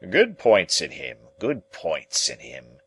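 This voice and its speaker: Man doing sarcastic gentleman, dry, pointed, deep voice, audiobook. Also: animated, moderate pace. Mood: sardonic. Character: smug, sarcastic gentleman relative. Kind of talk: audiobook character voice.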